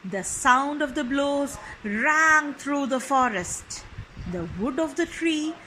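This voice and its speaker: A woman narrates a children's story in a high, bright voice. She reads expressively and deliberately, phrase by phrase, with faint noise in the background. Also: lively intonation, low-quality recording.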